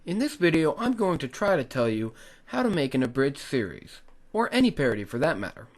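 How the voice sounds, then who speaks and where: Man, hobby creator, scripted how-to narration, animated melody, instructive, direct address. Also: fairly high pitch, brisk. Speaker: tutorial host, hobby video creator.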